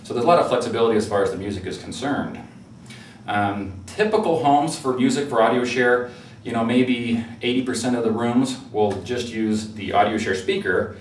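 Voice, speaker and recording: A man with a fairly low voice walks through a product in an informal, conversational way, sometimes hesitating over his phrasing. He sounds set back from the microphone in an echoey room, with faint noise behind him. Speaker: product presenter. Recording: roomy, distant mic with faint noise.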